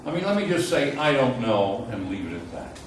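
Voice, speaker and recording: Echoey, halting man lecturing from afar. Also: medium pitch, sing-song. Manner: halting, thinks aloud, frank about not knowing. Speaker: university physics lecturer. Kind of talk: informal classroom lecture with student questions. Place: lecture room, mic away from speaker. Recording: roomy echo, distant mic, loud room noise.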